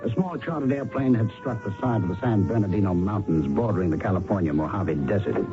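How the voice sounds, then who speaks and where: An American actor playing a businesslike, dryly hard-boiled police investigator in an old-time radio drama. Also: male voice, on the low side, lively intonation, quick pace, loud music behind the voice, close to an everyday voice. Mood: grim narrating. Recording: dull, very noisy old radio recording.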